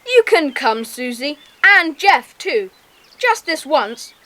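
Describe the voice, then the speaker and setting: A woman's voice: sits high, very animated, takes it slowly, sharp tone, heavily acted. A woman playing an earnest, confident, bossy boy gang leader in a children's audio drama. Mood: pleased. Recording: noisy cassette transfer.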